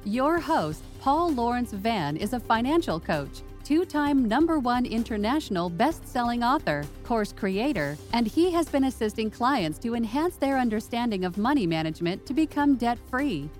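Polished woman announcer, fairly high voice, faint music underneath. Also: very animated, normal speed, hardly any pauses, darkish tone. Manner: polished, promotional delivery. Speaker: show announcer. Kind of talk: scripted promotional intro.

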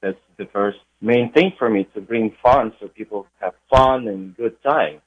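A young man with a Ukrainian accent, talking about his music in a relaxed radio interview, pausing often with "uh". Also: on the low side, no room sound. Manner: unhurried, with frequent uh pauses. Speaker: DJ and radio guest. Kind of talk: interview conversation. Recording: tinny phone-like line.

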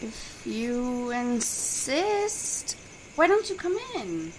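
An actress playing a young woman devoted to her controlling father, speaking in a soft, measured, quietly menacing way. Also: a little high, sing-song, a little hiss or hum, exaggerated voice, rough recording. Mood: coolly polite. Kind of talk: audio drama acting.

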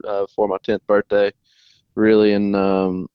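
Low-voiced young man. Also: smooth, no room sound, dull audio. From North Carolina. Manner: relaxed, halting, many restarts. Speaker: race car driver guest. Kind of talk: casual interview answers.

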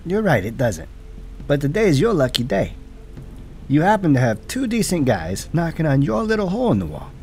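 A man's voice: a little high, wildly swooping pitch, normal speed, darkish tone, soft background music. A man playing a cocky, exasperated hard-boiled cop in a noir audio drama, sardonic and streetwise with punchy delivery. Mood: cool, threatening.

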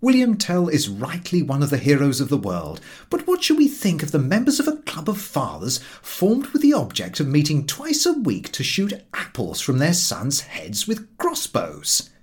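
A man narrating a literary essay as an audiobook reader, in measured, emphatic, oratorical phrasing. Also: fairly high pitch, wildly swooping pitch, slightly rough.

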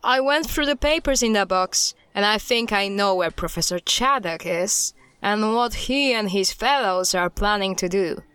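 A woman playing a brisk, secretive private investigator in audio drama dialogue. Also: medium pitch, fairly bright tone, clear, resonant tone, no room sound, lightly acted. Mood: purposeful.

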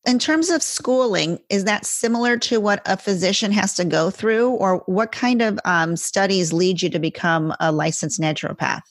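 A woman's voice: mid-range voice, moderate pace, few pauses, no room sound, clean studio sound. A woman podcast host from the United States who asks curious questions and sums up what she hears to check it. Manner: curious, summarizes and checks her understanding. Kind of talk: interviewing.

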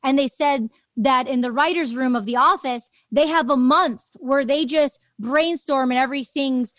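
High-voiced woman in casual conversation. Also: moderate pace, fairly bright tone, no echo, studio quality. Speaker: podcast host, interviewer.

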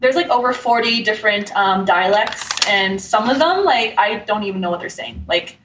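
A woman from Tokyo explaining language in a casual, conversational way, informal and quick to laugh. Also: lively intonation. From Tokyo, Japan. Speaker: guest explaining Japanese language. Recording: roomy, distant, muffled mic with rumbling noise.

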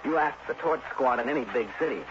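A man's voice: mid-range voice, fast, natural voice. An actor playing a cool, probing male insurance investigator in a 1960s radio detective drama, businesslike and polite, on a very noisy, muffled old recording. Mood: probing, firm.

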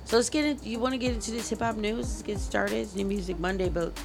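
A woman with a raspy voice hosting a casual radio talk show, lively and teasing, full of questions. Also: mid-range voice, quick pace, music in the background. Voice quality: raspy today. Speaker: show host.

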